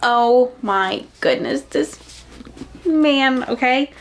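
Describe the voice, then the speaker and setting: A young adult woman chatting casually about books, with an animated, gushing delivery and dramatic emphasis. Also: on the high side, drawn-out words. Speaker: book reviewer and vlogger. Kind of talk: casual chatty book recommendation vlog.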